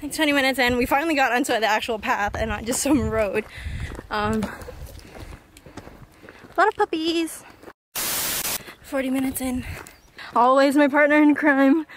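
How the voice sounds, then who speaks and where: A young woman vlogging on a mountain hike, chatting in a bubbly, giggly way into a handheld camera held at arm's length. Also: high voice. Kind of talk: casual travel vlog chat. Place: outdoors on a windy mountain trail. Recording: loud wind and outdoor noise.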